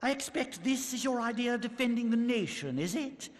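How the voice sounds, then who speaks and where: A man's voice: very high voice, animated, fairly quick, big-room sound. A man voicing a scheming, pompous nobleman in a video game, sardonic and contemptuous, with archaic formal diction. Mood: scornful. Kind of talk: theatrical video game voice acting.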